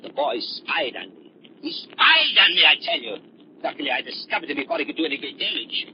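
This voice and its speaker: A scheming, manipulative doctor from a 1930s radio mystery. His delivery is theatrical and insinuating, sweeping up and down in a fairly high voice. The old recording is slightly noisy. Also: wildly swooping pitch, a little fast, dull audio. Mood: indignant. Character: scheming, manipulative male scientist doctor, smooth and sinister.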